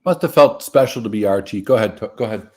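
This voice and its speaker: A man's voice: fairly low pitch, lively intonation, fast talker, mellow. A man in his fifties on a livestream panel, teasing and laughing easily in casual chat. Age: 50s.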